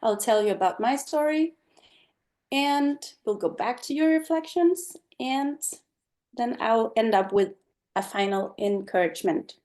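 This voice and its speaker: Danish woman, middle-aged, slow and thoughtful speaker, video-call mic. Online talk. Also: on the high side, animated, a little mellow. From Denmark. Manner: slow, thoughtful, many fillers. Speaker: guest speaker.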